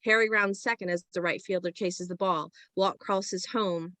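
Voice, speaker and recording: A woman's voice: on the low side, steady tone, normal speed, sharp tone, no echo. A woman reading screenplay stage directions as scripted present-tense narration.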